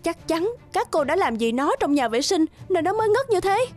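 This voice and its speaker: A woman voicing a busy, brusque young mother in an animated drama, curt and quick to blame. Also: very high voice, faint music behind. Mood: angry, accusing. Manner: curt, accusatory.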